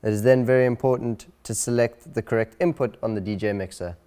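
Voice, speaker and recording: A man presenting an instructional video in a clear, deliberate, step-by-step way. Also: mid-range voice, dark tone. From South Africa.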